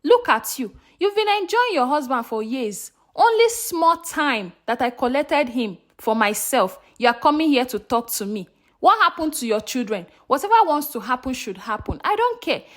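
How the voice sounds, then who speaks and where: Brazen, high-voiced young mistress played by a woman. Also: sing-song, normal speed, fairly bright tone. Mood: furious, contemptuous. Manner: taunting, snapping insults. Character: brazen, scornful young mistress, haughty and greedy.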